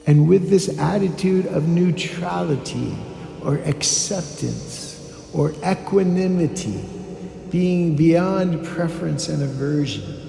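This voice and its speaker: A man guides a meditation in a mellow voice full of heavy creak. He speaks calmly and very slowly, leaving pauses between instructions. The recording rings with the long reverb of an echoey hall, with some background noise. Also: fairly high pitch, soft background music. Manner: calm, deliberate instruction with pauses.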